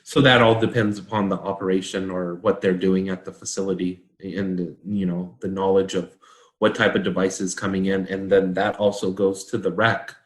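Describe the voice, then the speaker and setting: Man, lowish voice, measured explainer, consultant discussion, roomy echo. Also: flat delivery. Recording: echoey room.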